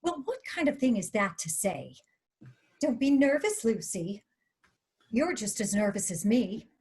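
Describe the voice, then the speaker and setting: A woman plays a husky-voiced female star in her fifties in a dramatic table read. She is jittery and tart, leaning hard on repeated words with a wry, bitter bite. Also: on the high side, sing-song, unhurried, darkish tone, heavily acted. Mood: nervous, irritated. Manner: biting, wry, emphatic repetition. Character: fifty-four-year-old Lucille Ball, nervous, tart-tongued, bitter screen star.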